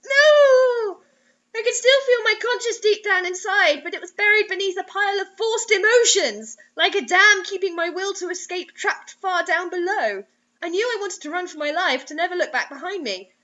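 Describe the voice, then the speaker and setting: Young woman, high voice, hamming up a dramatic read-aloud with wry asides; lo-fi webcam recording. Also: moderate pace, bright, forceful tone. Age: young adult. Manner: theatrical, overwrought. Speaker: co-host reading bad fiction aloud. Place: small indoor room. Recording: dull lo-fi webcam sound.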